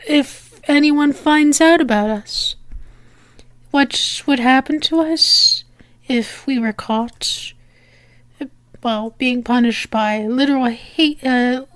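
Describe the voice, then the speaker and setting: A woman playing a teasing, lovestruck female demon in an intimate ASMR roleplay, speaking haltingly with fond endearments. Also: sits high, clean tone, no room sound.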